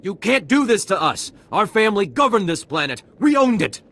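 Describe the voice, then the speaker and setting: Indignant young noble settler for a game: haughty, higher-pitched, very lively. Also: male voice, moderate pace, creaky, exaggerated voice. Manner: haughty, argumentative. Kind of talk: video game voice acting.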